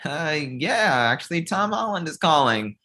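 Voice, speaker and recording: Blunt, exasperated male talent manager, played by an actor, medium pitch with very animated delivery, comedy script. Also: unhurried. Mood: deadpan, blunt. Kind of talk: comedy table read.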